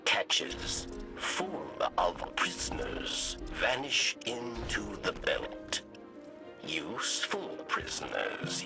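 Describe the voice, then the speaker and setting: Slow, deep, wily old alien. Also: male voice, exaggerated voice. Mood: urgent, scheming. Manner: halting, third-person self-reference, formal. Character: wily old alien scribe, insectlike, formal and plaintive. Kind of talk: video game character dialogue.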